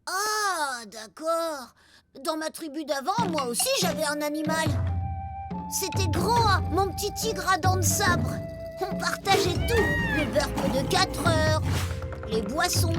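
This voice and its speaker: A woman's voice: very high-pitched, lively intonation, slow pace, over loud music, heavily acted. A woman voicing a brash, boisterous, food-loving prehistoric boy in a French cartoon, full of exclamations. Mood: earnest. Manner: boisterous, exclaiming in mock-caveman oaths.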